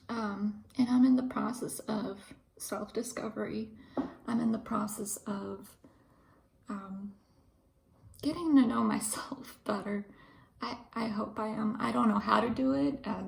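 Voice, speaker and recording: A woman in her thirties from Ukraine speaks slowly and thoughtfully, pausing often as she works through her ideas. Her voice has a heavy, gravelly fry, and her pitch sits in an ordinary middle range. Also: darkish tone, low-quality recording. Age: 30s. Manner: thoughtful, hesitant, many pauses and fillers. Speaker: pharmacist and video host. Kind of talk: reflective casual monologue.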